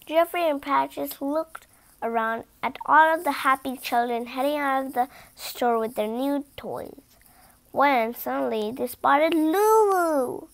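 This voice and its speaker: A young girl reading a storybook aloud with careful, expressive emphasis, a few stumbles and her own asides. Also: high-pitched, slow, vocal fry. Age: child.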